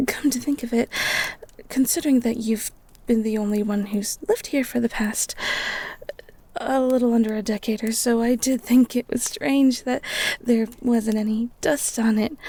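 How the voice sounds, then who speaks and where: Shy, bookish young heroine played by a woman, romantic audio roleplay. Higher-pitched, breathy, stammering delivery. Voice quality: soft and breathy. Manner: stammering, halting, breathy half-whispers. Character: shy, flustered young bookish woman living in a beast's castle.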